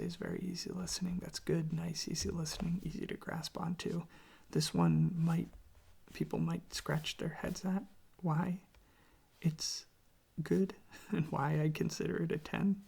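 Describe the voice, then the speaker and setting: A soft-spoken ASMR host rambling casually in a gentle, hesitant, self-deprecating way, with a breathy, husky, whispery voice close to the microphone. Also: medium pitch. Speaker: host of a relaxation channel showing records.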